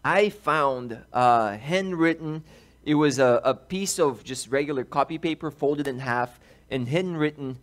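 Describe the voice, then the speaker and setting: Man in his thirties from Brazil, animated pastor preaching a story-led sermon in a church, headset mic, voice a touch high. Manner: animated, gestures while speaking. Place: large church sanctuary, indoors.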